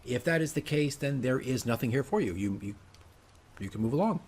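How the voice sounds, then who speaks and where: Man, casual advice vlog, chatty, self-correcting, frequent tag questions, game master, mid-pitched voice. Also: expressive, a little fast, darkish tone, slightly rough. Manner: chatty, self-correcting, often tags sentences with "right?". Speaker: dungeon master giving game tips.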